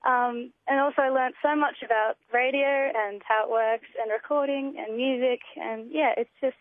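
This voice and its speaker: A woman's voice: high-pitched, steady tone, normal speed, no room sound. Young Australian woman on a phone line. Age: young adult. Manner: enthusiastic, gushing. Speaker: interview guest, student writer. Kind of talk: casual radio interview chat. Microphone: telephone call. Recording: tinny narrow phone line.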